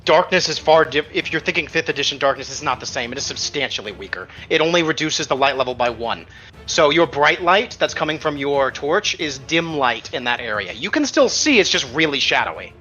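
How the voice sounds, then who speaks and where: Male game master, bright forceful voice, explanatory, casual; slightly dull band-limited audio with music behind. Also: on the high side, expressive, brisk. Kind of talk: casual tabletop game refereeing.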